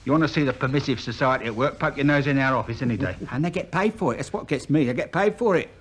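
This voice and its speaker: An actor playing a garrulous, complaining working-class storekeeper with a London accent in a British radio play. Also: male voice, medium pitch, fast talker, a little hiss or hum, close to an everyday voice. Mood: grumbling. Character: chatty grumbling working-class male storekeeper, officious about records.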